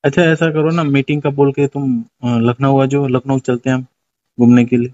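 A man's voice: mid-range voice, little pitch movement, moderate pace, darkish tone. A man chatting casually in a private phone conversation, heard on a muffled lo-fi call recording.

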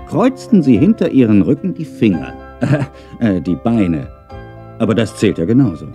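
A man's voice: on the low side, warm tone. A middle-aged man narrating a children's story in a playful way, with wry, chatty asides.

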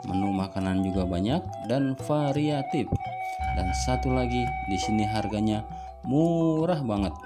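Man, promo voice-over, upbeat, persuasive, mid pitch, heavy music bed. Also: lively intonation, unhurried. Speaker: channel presenter.

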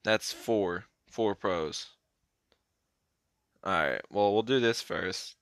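A hoarse young man talks through a mobile card game in a slow, unhurried drawl, his voice sitting on the low side. He often lets his words fade into a mumble. The sound is dry and close, with no room around it. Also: fairly bright tone, no echo. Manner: laid-back, mumbled, trailing off. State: hoarse, keeps clearing throat. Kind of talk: casual gameplay commentary.

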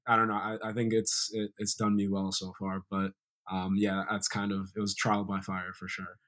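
Young man in a relaxed video-call chat. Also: sits low, normal speed. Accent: American. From Sierra Leone. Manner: relaxed storytelling, many fillers. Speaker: guest, former college soccer player. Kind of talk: casual interview chat. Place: bright room indoors, video call.

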